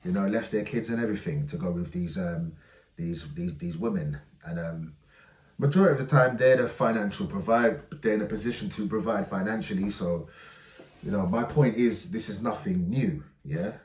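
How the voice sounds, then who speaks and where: A man hosting a vlog, commenting casually with hesitant pauses and many fillers. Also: lowish voice, darkish tone. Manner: hesitant, many ums and restarts. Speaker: vlog host reacting to clips. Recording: echoey room, voice from far off.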